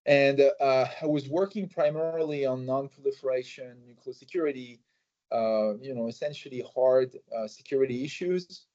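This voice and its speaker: A man answering interview questions in a measured way, with many fillers and restarts. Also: mid-range voice, warm tone. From France. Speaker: think tank president, guest. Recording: slightly muffled recording.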